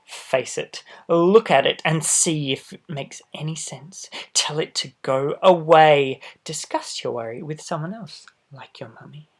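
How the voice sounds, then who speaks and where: A man reading a children's storybook aloud expressively, adding gentle asides for young listeners. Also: fairly high pitch, a little slow, few pauses. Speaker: storybook reader. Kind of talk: children's picture book read-aloud.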